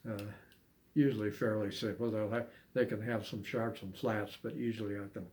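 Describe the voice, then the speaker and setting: An older man chatting casually as an interviewee, recalling things in a halting, hesitant way with long pauses. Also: fairly low pitch, flat delivery, darkish tone. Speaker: amateur harmonica player being interviewed. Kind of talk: casual reminiscing chat.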